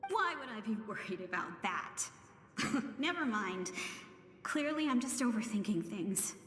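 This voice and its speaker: A woman's voice: medium pitch, big pitch swings, measured pace, a bit bright. A woman voicing a playful, affectionate young warrior woman in a video game. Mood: reassuring herself. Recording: echoey hall reverb, music behind. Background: game music.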